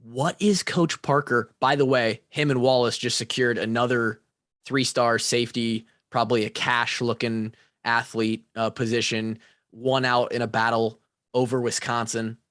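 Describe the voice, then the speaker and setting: A young man co-hosting a casual podcast chat, talking in an excitable, slangy, rambling way. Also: mid-range voice, moderate pace, no room sound, clean studio sound. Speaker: podcast co-host.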